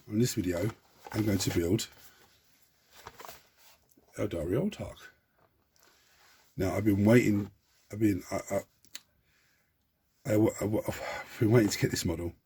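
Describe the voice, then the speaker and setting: A man hosting a model-building tutorial, talking in a chatty, rambling way with a croaky, hoarse voice and many restarts. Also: on the low side, dark tone, slight background noise. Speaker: hobby channel host.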